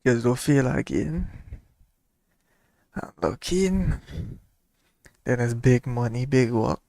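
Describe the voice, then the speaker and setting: A man's voice: medium pitch, expressive, slow, vocal fry, mellow, no echo. A young man chatting casually over a game as a streamer, slangy and rambling. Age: young adult. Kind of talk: casual chat over gameplay.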